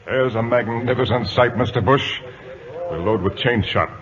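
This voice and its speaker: An actor in an old radio drama playing a cool-headed, wry Royal Navy captain with a measured, authoritative manner. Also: male voice, mid-range voice, crowd noise, lightly acted. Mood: resolute. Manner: measured, authoritative, dryly understated. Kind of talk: 1940s-50s radio drama acting. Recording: muffled old radio broadcast, audience noise.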